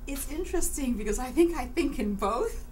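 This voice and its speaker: A woman's voice: high voice, lively intonation, normal speed, a little mellow. A woman from Zimbabwe whose first language is Shona, answering questions as an author in an interview. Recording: background noise.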